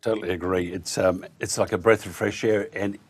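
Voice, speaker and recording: A man's voice: low voice, fairly even intonation, a little fast. A man hosting a radio talk show, interviewing a guest and telling teasing jokes. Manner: joking, teasing.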